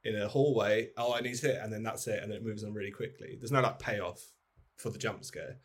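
A man's voice: fairly low pitch, fairly even intonation, brisk. A man in an informal, rambling, sweary chat about films, acting out imagined lines as he goes. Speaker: podcast host. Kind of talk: casual film chat.

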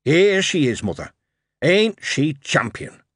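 A man's voice: on the high side, big pitch swings, takes it slowly, slightly rough, no echo, clean studio sound, pitched above the performer's own voice, heavily acted. A man voicing an eager young Yorkshire lad whose words tumble out as he explains his inventions.

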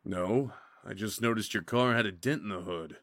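An actor playing a skeptical, by-the-book highway patrol officer in an audio drama, official and matter-of-fact. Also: male voice, normal speed, a bit bright.

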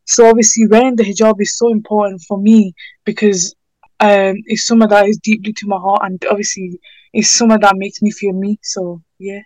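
A woman's voice: mid-range voice, flat delivery, a little fast, a little mellow, no echo, rough recording. A sixteen-year-old young woman with a British accent, giving informal, earnest and somewhat hesitant answers in an interview. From United Kingdom. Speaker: interviewee, student campaigner.

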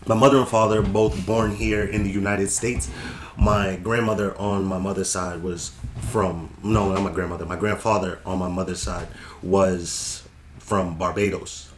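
Deep-voiced young man, laid-back street talk. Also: fairly even intonation, normal speed. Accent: Boston. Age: young adult. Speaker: podcast guest. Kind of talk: casual storytelling chat. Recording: echoey room with faint noise.

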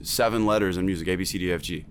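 Opinionated man with a lowish voice. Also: rapid-fire, a little hiss or hum. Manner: emphatic, opinionated, lots of fillers. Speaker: music producer and teacher. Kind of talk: casual podcast chat.

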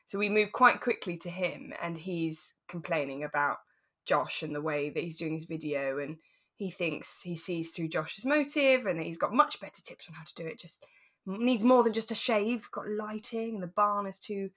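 A woman co-hosting a relaxed podcast about a radio drama, giggly and emphatic in casual conversation. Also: fairly low pitch, moderate pace. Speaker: podcast co-host.

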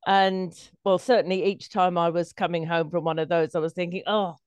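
A woman's voice: lowish voice, flat delivery, fairly quick, smooth, dry recording, dull audio. A middle-aged woman, a stage performer telling anecdotes as an interview guest.

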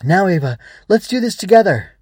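A man's voice: a little high, moderate pace, a little mellow, husky, no room sound, clean studio sound, pitched above the performer's own voice. A man voicing a small female glowing bug, a brave, protective companion who urges and encourages in a children's story. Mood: triumphant. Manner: urgent, encouraging, instructive.

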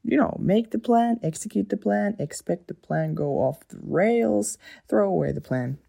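A woman's voice: medium pitch, expressive, moderate pace, gravelly, dark tone. A woman playing a wry, bossy, impatient member of a hero team in an audio drama. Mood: wry, practical. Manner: exasperated, wry.

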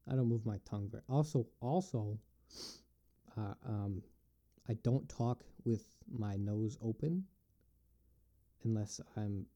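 A man with an upstate New York accent talks to camera in a loose, wandering way, stopping and starting as he thinks. His voice sits low, with a very dark, mellow tone. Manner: rambling, halting, thinks aloud. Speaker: vlogger answering questions. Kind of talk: casual solo musing.

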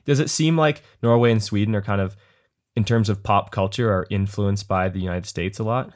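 A man interviewing a guest in a relaxed, joking, casual chat. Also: lowish voice, brisk.

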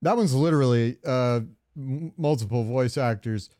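A man's voice: medium pitch, moderate pace, warm tone, dry recording, clean studio sound. A man co-hosting a podcast, chatting about games in an informal, joking way. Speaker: podcast co-host. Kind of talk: casual gaming chat, ranking voice lines.

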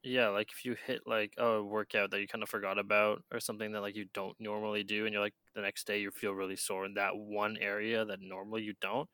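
A young man co-hosting a casual podcast, calm and measured, speaking plainly. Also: fairly low pitch, clean tone, dry recording. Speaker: podcast co-host. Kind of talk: casual chat.

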